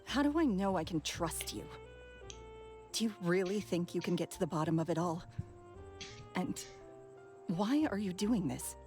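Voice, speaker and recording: A woman's voice: on the low side, animated, normal speed, pauses often, darkish tone, soft background music, natural voice. An actress voicing a wary, embittered woman in a game, who speaks in a guarded and bitter way.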